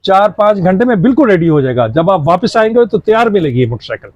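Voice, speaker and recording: A man playing a crooked, glib motorbike mechanic and shop boss in an acted moral-story skit, smooth-talking and bossy. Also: high-pitched, sing-song, quick pace, dry recording, lo-fi sound. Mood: glib, reassuring.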